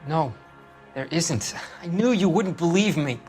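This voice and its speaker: An actor playing a nervous, defensive young murder suspect in a video game, hesitant and pleading. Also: male voice, on the high side, expressive, a little slow, darkish tone, faint music behind. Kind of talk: dramatic video game acting.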